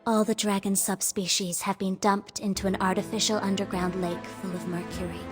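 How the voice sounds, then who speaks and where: A woman's voice: medium pitch, little pitch movement, fairly quick, over music, natural voice. A woman voicing a composed, serious intelligence agent in a dramatic video game.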